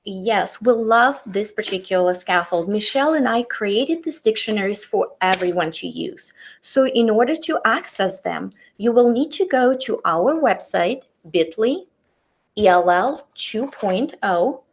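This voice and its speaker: A woman's voice: medium pitch, expressive, slight background noise. A middle-aged woman teacher with a Russian accent, a native Russian speaker, carefully demonstrating a tool in a webinar on a computer mic. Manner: careful, deliberate, demonstrates on screen. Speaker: teacher presenter.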